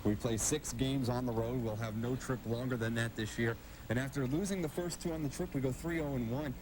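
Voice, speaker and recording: A man's voice: mid-range voice, fairly quick, background noise. A man hosting a sports interview show with a polished broadcast delivery. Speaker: TV show host and hockey play-by-play announcer.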